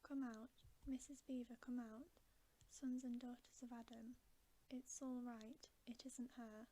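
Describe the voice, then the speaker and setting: An excitable, homely male beaver from a storybook, as a woman reader puts him on. He exclaims eagerly in a breathy half-whisper. The delivery stays slow and mellow. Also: a little high, a little hiss or hum, natural voice, low-quality recording. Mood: excited, reassuring.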